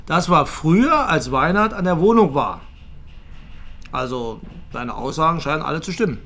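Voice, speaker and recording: An actor playing a matter-of-fact police detective in a TV crime drama, reporting plainly and factually. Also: male voice, a little high, expressive, normal speed, fairly bright tone, a little hiss or hum. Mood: businesslike. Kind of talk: naturalistic German TV drama dialogue.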